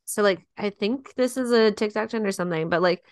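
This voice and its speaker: A woman's voice: medium pitch, brisk, smooth, no echo. A young woman who is a guest on a podcast, chatting casually, filling her speech with likes and ums and laughing easily. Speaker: podcast guest, dance partner.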